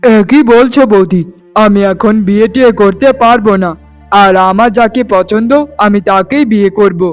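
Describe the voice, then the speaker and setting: A man's voice: sits high, moderate pace, clean tone, soft background music, natural voice. A man playing an earnest, stubborn young shepherd in love, speaking in a flowery, pleading way in a fairytale cartoon. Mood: insistent, lovestruck. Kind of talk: Bengali fairytale cartoon dialogue.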